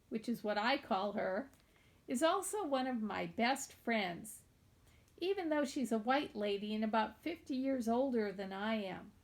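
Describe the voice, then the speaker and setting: A woman with a fairly high voice reads a children's novel aloud. She narrates expressively, telling the story in the first person as its young heroine.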